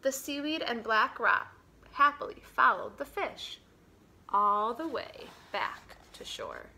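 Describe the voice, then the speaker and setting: A woman reading a children's picture book aloud as a teacher would, dramatically, dropping to a hush and swelling for emphasis. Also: a little high, animated, slow, vocal fry, slight background noise. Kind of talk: expressive children's storybook read-aloud.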